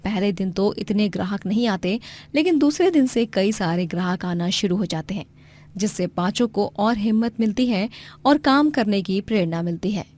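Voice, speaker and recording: A female storyteller narrates from a script. Her voice sits at a medium pitch and carries the tale along. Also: expressive, normal speed, a little mellow.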